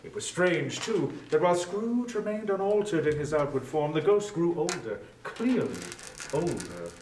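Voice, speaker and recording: A man's voice: a little high, very animated, darkish tone, a little hiss or hum. Echoey, distant male storybook narrator. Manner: theatrical, deliberate phrasing with weighted lists. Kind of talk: dramatic literary narration. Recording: echoey room, distant from mic.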